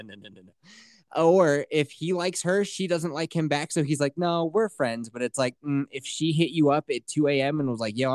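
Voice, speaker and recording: A man's voice: on the high side, dry recording, clean studio sound. Blunt, crude-talking male podcast host. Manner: blunt, crude, animated storytelling. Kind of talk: casual advice podcast chat.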